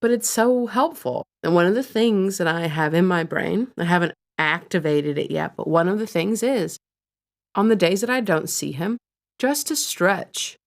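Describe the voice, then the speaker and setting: A woman's voice: fairly low pitch, moderate pace, gravelly. A woman co-hosting a podcast, chatting candidly and with a self-deprecating edge. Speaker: podcast co-host. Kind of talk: casual chat.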